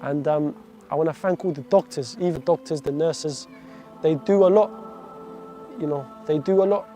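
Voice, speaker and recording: A man's voice: fairly high pitch, normal speed, warm tone, faint music behind. A man in his twenties from Iraq, giving an earnest, heartfelt faith testimony full of devout phrases. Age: 20s.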